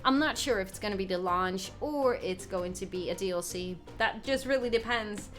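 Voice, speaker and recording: A young woman from the Netherlands with a Dutch accent, chatting excitedly and giggling on a gaming live stream as she reads out viewers' comments. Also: mid-range voice, moderate pace, soft background music. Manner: excitable, giggly, reading chat aloud. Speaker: gaming livestreamer. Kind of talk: casual live stream chat.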